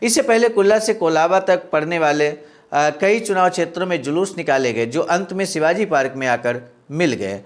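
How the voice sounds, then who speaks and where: Man, slightly high voice, formal read-aloud narration, historical speech report, respectful address. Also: lively intonation, normal speed.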